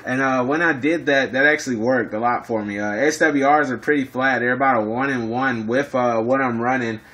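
A man's voice: medium pitch, a little fast, fairly bright tone. A man in casual two-way radio chat, speaking in operator jargon and often repeating himself. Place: indoors in an apartment.

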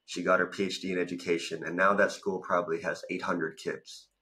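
A man's voice: low voice, little pitch movement, brisk, sharp tone. A man in his thirties from Thailand, a guest talking casually about his business path with many "like" fillers, recorded on a video call in a home room. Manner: talkative, storytelling with many "like" fillers. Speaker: guest, business coach and founder. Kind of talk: casual interview. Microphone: studio mic in front, video call.

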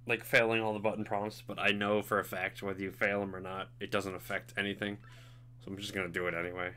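Young male let's player, laughy casual commentary, bright forceful tone, lowish voice. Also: flat delivery, fast. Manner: laughing, chatty, self-deprecating. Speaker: let's play host. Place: home room, indoors.

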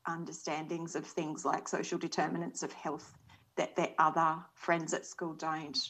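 A woman's voice: low voice, flat delivery, normal speed, a little hiss or hum, low-quality recording. An Australian woman in her forties speaking earnestly and thoughtfully as a panel guest over a video call from a small room. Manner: earnest, thoughtful, soft-spoken. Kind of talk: reflective panel talk. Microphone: video call webcam.

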